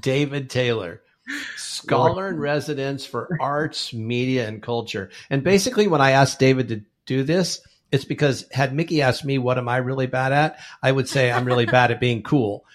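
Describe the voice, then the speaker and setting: A man's voice: mid-range voice, moderate pace. Joking male podcast co-host. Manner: friendly, joking, introduces guest. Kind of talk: casual chat.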